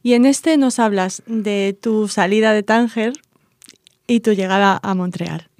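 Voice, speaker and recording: A woman's voice: medium pitch, a little slow, a little mellow, no echo. A woman, speaking conversationally as a radio interviewer in a studio.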